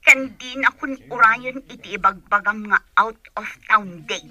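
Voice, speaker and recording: An actress with a Filipino accent playing a hurt, reproachful young sweetheart in a radio drama. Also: female voice, a little high, unhurried, pushed and bright, muffled recording. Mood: hurt, accusing. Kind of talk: Ilocano radio drama acting.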